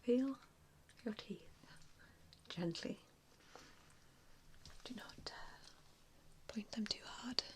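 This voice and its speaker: Slow whispering woman, soothing ASMR roleplay. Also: a little high. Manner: soothing, hesitant, softly spoken. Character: gentle, reassuring centuries-old female vampire mentor.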